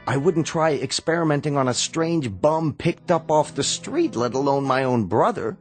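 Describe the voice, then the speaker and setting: An actor playing a blunt, exasperated physician in a radio drama, speaking emphatically and articulately with a sardonic edge. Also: male voice, on the high side, expressive, moderate pace, faint music behind, close to an everyday voice. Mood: urgent, adamant. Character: blunt, exasperated younger brother, a physician researcher.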